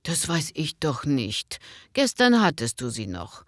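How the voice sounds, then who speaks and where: A woman's voice: deep voice, huge pitch swings, unhurried, no room sound, clean studio sound, full character voice. A woman playing a teasing, dryly witty wife in a scripted language-lesson dialogue. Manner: teasing, mock-exasperated.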